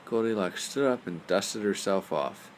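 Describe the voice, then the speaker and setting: A man's voice: fairly low pitch, a little slow. A man narrating a bedtime story aloud as a storyteller. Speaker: storyteller, father reading aloud. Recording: faint background noise.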